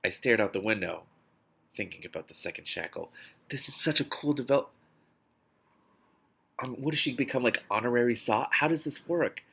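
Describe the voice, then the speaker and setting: A man's voice: medium pitch, normal speed, gravelly, pauses often, a bit bright, husky. A man reading a novel aloud expressively as host, breaking off for casual asides.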